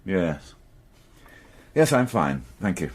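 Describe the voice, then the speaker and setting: A man's voice: deep voice, sing-song, slow, exaggerated voice. An actor playing an irritable, sardonic male police inspector in a radio crime drama. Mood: defensive. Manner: curt, exasperated, sardonic.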